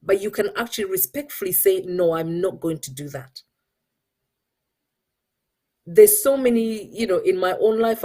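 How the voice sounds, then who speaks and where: Middle-aged Ugandan-accented woman host, mellow dark tone, earnest and coaching. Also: medium pitch, breathy edge. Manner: earnest, mixes English with Luganda. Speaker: show host. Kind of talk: motivational talk.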